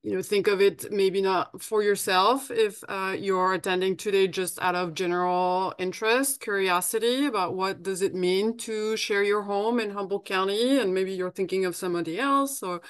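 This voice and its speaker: A woman's voice: mid-range voice, fairly even intonation, normal speed, clear, resonant tone, no room sound, clean studio sound. A woman from France with a French accent, giving a friendly, practical presentation over a video call with plenty of fillers and restarts. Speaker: guest presenter.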